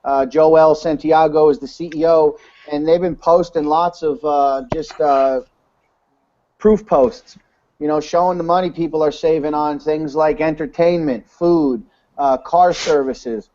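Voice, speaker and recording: A man's voice: a little high, fairly even intonation, a little slow, warm tone. A man giving a casual, rambling webinar talk, full of fillers and restarts. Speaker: webinar presenter. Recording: dull lo-fi online call audio.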